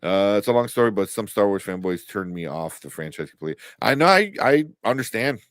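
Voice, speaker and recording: A man with a very low voice hosts a casual fan discussion show. His delivery swings up and down a lot as he rambles with enthusiasm, reaching for names as he goes. The sound is close and dry. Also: lively intonation, a little fast, a bit bright, no echo. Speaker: host of a pop-culture review show.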